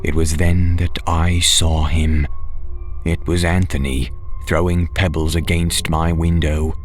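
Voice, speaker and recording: A man narrating a scripted first-person horror audiobook in a measured, dramatic way. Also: extremely low pitch, level tone, soft background music.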